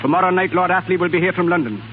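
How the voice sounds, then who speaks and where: High-pitched militant rebel played by a man, old radio. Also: racing, narrow, tinny audio, natural voice. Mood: menacing, fervent. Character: militant Irish rebel, vengeful, hot-headed, defiant. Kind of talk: 1930s radio drama acting. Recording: thin 1930s radio broadcast, faint noise.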